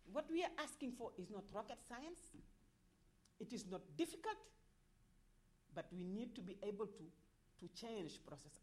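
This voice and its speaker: Passionate Senegalese woman, halting delivery. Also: on the high side, a little mellow. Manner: halting, many restarts and repeated words, rising in force on key points. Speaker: activist speaker at a development forum. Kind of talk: impassioned panel speech.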